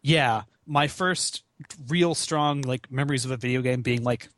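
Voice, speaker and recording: A man's voice: mid-range voice, a little slow, a bit bright, no room sound, studio quality. A man in his twenties in casual, rambling gamer chat, swearing freely with slangy, self-deprecating asides. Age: 20s. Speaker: podcast guest, gaming marathon runner. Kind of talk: casual rambling chat about video games.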